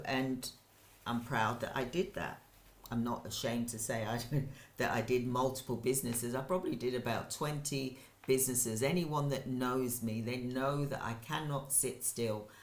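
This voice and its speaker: An older woman, hosting her own channel and giving an energetic, rambling motivational talk to camera, repeating points for emphasis. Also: rumbling low, a little slow. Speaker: channel host, business owner. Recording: roomy echo.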